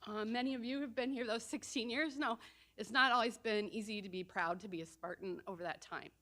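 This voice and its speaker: A woman, a doctoral student and outdoor educator, giving an award acceptance speech, often pausing on um and uh. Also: fairly high pitch, pushed and bright. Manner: frequent ums and uhs.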